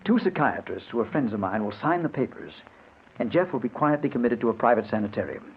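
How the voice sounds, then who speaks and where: A man's voice: medium pitch, animated, fast talker, lightly acted. An actor playing an urgent, persuasive man plotting in secret, earnest and insistent in old-time radio drama style. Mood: urgent, pleading. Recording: tinny old radio, faint hiss.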